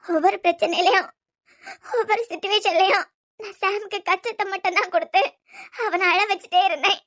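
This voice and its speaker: An actress playing a heartbroken young woman in a TV serial, crying and speaking in soft, broken phrases. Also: extremely high pitch, fairly even intonation, brisk. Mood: tearful. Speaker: heroine in a TV serial. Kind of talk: TV serial acting.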